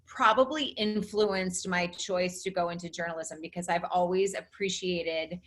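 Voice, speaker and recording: A woman from the Chicago suburbs with a slight New Jersey accent, telling her story as a podcast guest in a lively, emphatic, self-deprecating way. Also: fairly low pitch, moderate pace. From Illinois, Chicago suburbs. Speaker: on-camera consultant, podcast guest. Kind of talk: casual interview chat.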